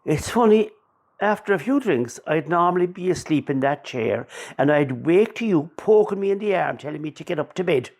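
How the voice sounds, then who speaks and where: An actor playing a grumpy, grieving older Irish father in a radio play, gruff and sardonic in manner. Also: high voice, very noisy. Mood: grieving, wry.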